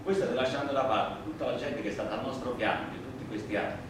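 Worker on trial, played by an actor, very echoey. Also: male voice, mid-range voice, lightly acted. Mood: humble, grave. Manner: simple, emphatic, deliberate working-class oratory. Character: plain-spoken, indignant immigrant worker anarchist on trial. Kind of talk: theatrical courtroom monologue. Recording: echoey, distant stage recording, clear noise.

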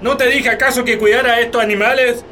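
A man's voice: very high-pitched, fairly even intonation, fast, bright, forceful tone, a little hiss or hum. A man with a Chilean accent playing a harsh, domineering landowner in a radio drama, commanding and threatening. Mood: furious, threatening.

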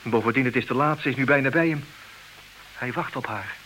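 A man's voice: mid-range voice, brisk, pushed and bright, slight background noise, natural voice, poor audio. A man playing a hesitant, resigned betrayed husband who stammers and repeats words, in in an old radio play. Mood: brooding. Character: hesitant, resigned husband who knows of his wife's infidelity. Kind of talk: old Dutch radio drama acting.

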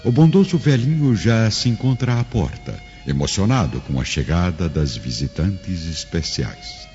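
Very deep, expressive male narrator. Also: measured pace. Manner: solemn, florid. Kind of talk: dramatic scripted narration. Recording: dull, long reverb, music behind.

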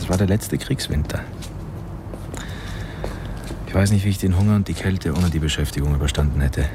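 A man's voice: low-pitched, a little fast, noisy background, natural voice. A man acting in a radio play as a pompous, eccentric linguist, boastful and mocking, with a grandiose air. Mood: thoughtful. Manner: pompous, grandiose, mock-indignant.

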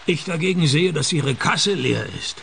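Wry male private eye, fairly high gravelly voice, sardonic radio play delivery. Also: moderate pace, a little hiss or hum. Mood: dry, needling. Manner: deadpan, sardonic. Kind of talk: radio play dialogue and first-person narration.

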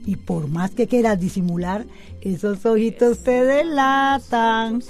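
An actress playing a wise, reassuring, gently teasing confidante in a radio soap. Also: female voice, fairly high pitch, steady tone, takes it slowly, faint music behind. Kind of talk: Spanish-language radio soap acting.

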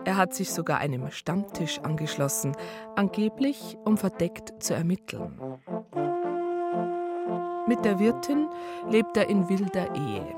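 An actress playing an indignant, officious police psychologist, narrating a radio drama in a formal, prim manner. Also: female voice, on the low side, animated, measured pace, long pauses. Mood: disapproving. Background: brass music.

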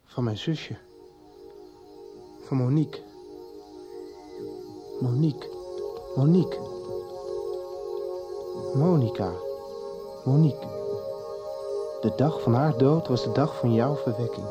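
A man's voice: medium pitch, slow pace, long pauses, highs cut off, lightly acted. An actor playing Joris, a troubled young man haunted by dreams, talking bluntly in a 1990s radio drama. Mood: tense. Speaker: lead character in a radio soap. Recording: music bed under the dialogue.

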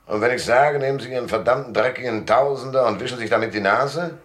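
An actor playing a wry, laconic private detective who narrates and talks in a German noir radio drama. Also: male voice, mid-range voice, quick pace, close to an everyday voice. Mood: defiant, mocking. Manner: laconic, sardonic. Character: hard-boiled, wry male private detective and first-person narrator.

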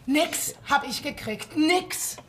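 A woman's voice: high voice, animated, slow, fairly bright tone, slight background noise, full character voice. A crude, defiant young woman. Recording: radio drama with faint ambience.

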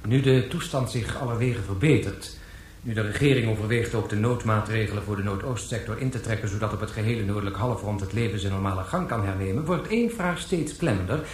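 Lowish, echoing, portentous newsman played by a man. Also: fast talker, a little hiss or hum, natural voice. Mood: grave, urgent. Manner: rhetorical, portentous. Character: grave, rhetorical male news commentator. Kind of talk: dramatic news commentary in a radio play.

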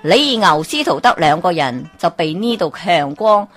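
A woman telling a folk tale as a storyteller, with lively exclamations and questions to the listener. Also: lowish voice, a little slow, faint music behind. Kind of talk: Cantonese folk tale narration.

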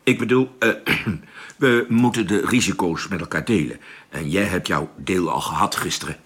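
Low, husky spaceship captain. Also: male voice, moderate pace, fairly bright tone. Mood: cautious, firm. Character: level-headed, cautious male spaceship captain. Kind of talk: radio drama acting.

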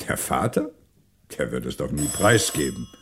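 An actor playing a shrewd, teasing police inspector in a German radio crime drama, brisk and commanding. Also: male voice, very deep voice, big pitch swings, noisy background, exaggerated voice. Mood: pondering.

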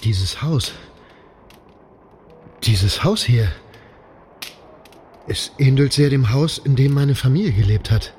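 An actor playing a proud aristocratic landowner who hunts mutants, with a pompous, grand manner, in a radio drama. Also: male voice, medium pitch, lively intonation, takes it slowly, long pauses, a little mellow, a little hiss or hum. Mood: wistful.

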